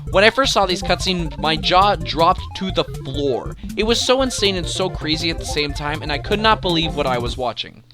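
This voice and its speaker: A man's voice: fairly high pitch, animated, normal speed, few pauses. A man hosting a scripted top-ten video with enthusiastic, emphatic commentary. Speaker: video creator and countdown host. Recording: music behind the voice. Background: music.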